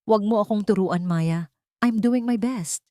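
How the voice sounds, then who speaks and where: A woman's voice: mid-range voice, a little slow, warm tone, no echo, clean studio sound, voice pushed up, close to an everyday voice. A woman voicing a suspicious, defensive wealthy father in a dramatic audio story.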